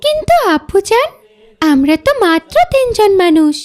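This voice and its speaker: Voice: extremely high pitch, sing-song, moderate pace. A performer voicing a polite, curious little girl in a cartoon. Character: rich man's young daughter, polite, curious little girl. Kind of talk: cartoon voice acting.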